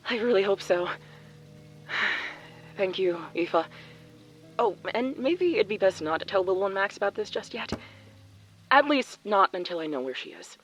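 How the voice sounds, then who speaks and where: A woman acts as an anxious woman in an audio drama. She sounds worried and hesitant, with an average-pitched voice. Faint music sits underneath. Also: animated, a little slow, long pauses, highs cut off, close to an everyday voice. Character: anxious, polite woman searching for someone.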